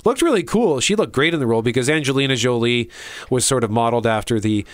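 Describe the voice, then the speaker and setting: A man's voice: mid-range voice, animated, quick pace, no echo, clean studio sound. A man co-hosting a show, chatting casually and with animation about entertainment. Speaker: show co-host. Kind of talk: casual chat reviewing entertainment.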